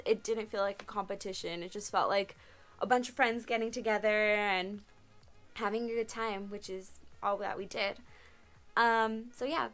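Young woman, twenties, casual vlogger, slightly high voice, chatty and rambling, webcam sound. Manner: chatty, frequent "um" and "like", laughs often. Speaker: car vlogger answering viewer questions. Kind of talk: casual Q&A vlog. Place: small bedroom, indoors.

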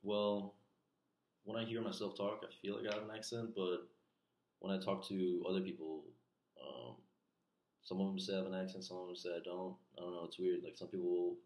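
Young man, from Iran, Farsi native speaker, very low voice, hesitant and filler-heavy, echoey bedroom. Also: level tone, fairly quick, a little mellow. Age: young adult. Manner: hesitant, many fillers like "like" and "you know". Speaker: interviewee. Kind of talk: casual interview. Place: small bedroom, indoors. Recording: echoey room.

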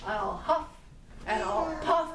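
A woman's voice: sits high, animated, slow, heavy background noise. A woman voicing the big bad wolf in a puppet show, raising her voice in a chanting, demanding tone. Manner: loud, rising chant. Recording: echoey room, far from mic.

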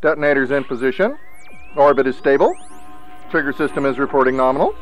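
An actor playing a brisk spaceship crewman in a radio drama, giving clipped procedural status calls. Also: medium pitch, lively intonation, soft background music, lightly acted. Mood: brisk, urgent.

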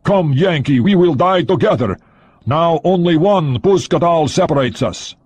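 A frightened, defiant young Soviet agent voiced by a man. He speaks slowly and deliberately, sneering even as his voice quavers. The tone sits higher and sounds husky. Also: no echo.

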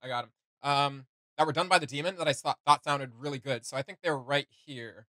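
Bright-voiced young man chatting casually. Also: medium pitch, brisk, no echo, clean studio sound. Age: young adult. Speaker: reaction video host. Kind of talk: casual reaction chat. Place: small indoor room with gaming setup.

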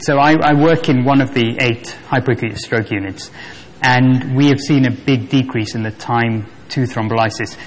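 A man in his thirties answers from a conference panel into a table mic, explaining things in a measured, fluent way. His voice sits mid-range, with faint hall ambience behind it. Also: mid-range voice, slight background noise. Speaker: stroke doctor on a panel. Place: large conference hall stage.

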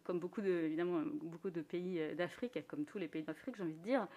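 A woman musician speaking in a thoughtful, earnest way during a radio conversation, sometimes laughing softly. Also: fairly low pitch, fast talker. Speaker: musician guest. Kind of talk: reflective conversation.

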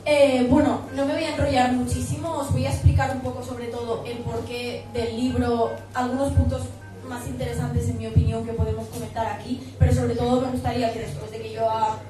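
A woman's voice: a little high, level tone, brisk, music in the background. A young woman from Spain giving a chatty book talk to a pub audience on a handheld mic. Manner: friendly, chatty, with many fillers. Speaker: book author and speaker. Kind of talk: public talk presentation. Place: pub event room. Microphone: handheld microphone. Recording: echoey room, far camera.

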